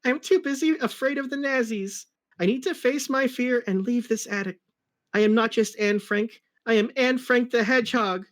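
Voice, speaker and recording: A man's voice: very high-pitched, moderate pace, a bit bright, voice pushed up. A man voicing a sad, timid girl in a story reading, with a plaintive, naive delivery. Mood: resolved.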